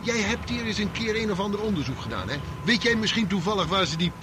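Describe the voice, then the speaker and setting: Gruff criminal, radio drama, Netherlands Dutch accent, high voice, coarse and commanding, clearly noisy background rumble. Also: male voice, expressive, fast talker. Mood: scheming. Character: gruff, aggressive criminal, sneering and ruthless.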